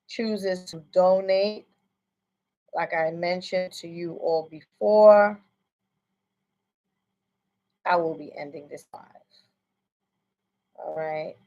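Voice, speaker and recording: A woman's voice: on the low side, fairly even intonation, slow pace, pauses often, dark tone, lo-fi sound. An American woman working as a psychic medium, giving a casual livestream reading in a rambling way and often asking "you know what I'm saying". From United States.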